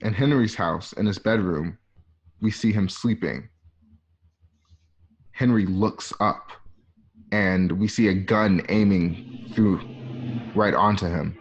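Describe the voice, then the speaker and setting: A man's voice: low voice, slow pace, long pauses, slightly rough, muffled recording. A man narrating scripted stage directions for a radio drama.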